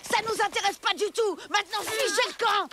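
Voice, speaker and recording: A woman voicing a gruff, scornful child from a rival clan in a cartoon, blunt and dismissive. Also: very high voice, fairly quick, background noise. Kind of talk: animated cartoon dubbing.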